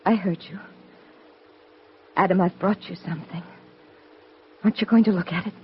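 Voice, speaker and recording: An actress playing Eve, the first woman, in an old radio drama, speaking in a hushed, urgent way. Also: on the low side, steady tone, moderate pace, a little hiss or hum, natural voice. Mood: tender. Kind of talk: 1950s radio drama acting. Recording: dull old radio broadcast.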